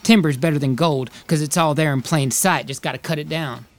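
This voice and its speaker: Guy acting a volatile old west drifter, bit high pitched, folksy hustler talk, screenplay drama. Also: brisk, lightly acted. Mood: persuasive. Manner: folksy, slangy frontier talk. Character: volatile, ambitious drifter brother pitching a timber scheme. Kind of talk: acted screenplay reading.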